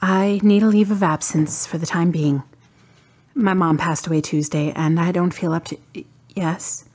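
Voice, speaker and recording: A woman playing a grieving, polite adult daughter in a radio drama, subdued and husky. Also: on the low side, fairly even intonation, a little slow, a little mellow. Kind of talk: amateur radio drama acting.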